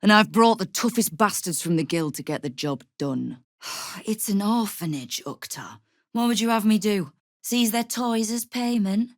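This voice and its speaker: A woman's voice: medium pitch, expressive, unhurried, no echo, clean studio sound. An actress playing a tough, sardonic woman who runs a crime guild in a video game, speaking in a wry, commanding, menacing way. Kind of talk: dramatic video game character dialogue.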